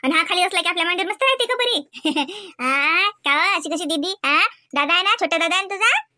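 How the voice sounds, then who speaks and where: A woman acts as a chatty village mother from a comedy cartoon, with a rural Vidarbha Marathi accent. Her voice is very high and bright, full of cooing baby talk and affectionate teasing. The sound is close and dry. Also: animated, fairly quick, no echo, exaggerated voice. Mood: teasing, amused. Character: doting, chatty village mother and elder sister.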